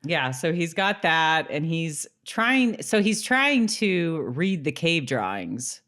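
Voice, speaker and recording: A woman's voice: deep voice, very animated, slow, a bit bright. A woman co-hosting a podcast, chatting casually as she recaps a TV episode. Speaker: podcast co-host.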